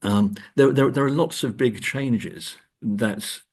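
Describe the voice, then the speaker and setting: A man speaking as an academic interview guest, discussing books and films in a hesitant, thoughtful, halting way. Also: mid-range voice, a little mellow, breathy edge. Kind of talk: conversational literary and film discussion.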